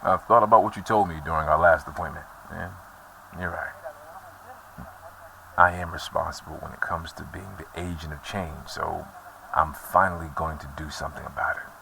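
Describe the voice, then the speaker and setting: A man playing a very low, gravelly, resolute avenger. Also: steady tone, moderate pace, bright, forceful tone, far from the mic, slight background noise, full character voice, rough recording. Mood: calm resolve. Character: weary, resolute troubled man bent on revenge. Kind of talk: horror audio drama acting.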